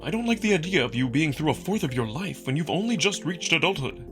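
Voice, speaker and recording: A man's voice: a little high, big pitch swings, fast talker, music in the background, pitched above the performer's own voice. A man voicing a big young alien wolf, earnest and playful, in a visual novel reading. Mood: earnest, concerned.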